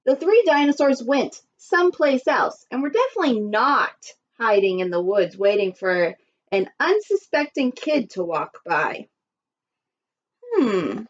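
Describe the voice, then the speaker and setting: A woman teacher reading a children's story aloud expressively and pausing to put questions to her listeners. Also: a little high. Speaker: primary school teacher. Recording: dull lo-fi recording.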